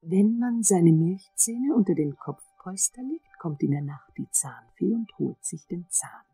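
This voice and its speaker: An actress playing a composed, seductive dentist in a radio play, calm, soft and breathy. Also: female voice, lowish voice, measured pace, no room sound, soft background music, heavily acted. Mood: intimate, reflective. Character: composed, seductive female dentist fascinated by teeth.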